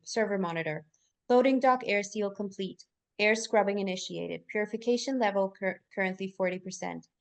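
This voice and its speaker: A woman reading scripted stage directions aloud as narrator in a live table read. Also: medium pitch.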